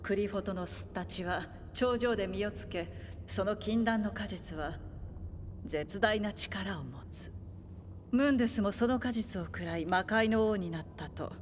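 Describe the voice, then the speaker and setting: A woman playing a composed, knowing demon hunter in a game, explaining in a measured way. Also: medium pitch, lightly acted. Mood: grave, knowing. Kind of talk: video game cutscene acting. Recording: long cavernous reverb, faint ambient noise.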